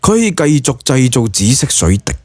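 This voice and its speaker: A man's voice: mid-range voice, sing-song, a little fast, darkish tone, dry recording, studio quality. A man with a Cantonese accent narrating a scripted story in a dramatic audiobook style.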